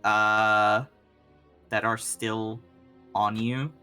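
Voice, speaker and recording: Game master for an actual-play tabletop show: unhurried, informal and halting, over faint music. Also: mid-range voice, flat delivery, bright, forceful tone, clear, resonant tone. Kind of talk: casual tabletop game narration and rulings.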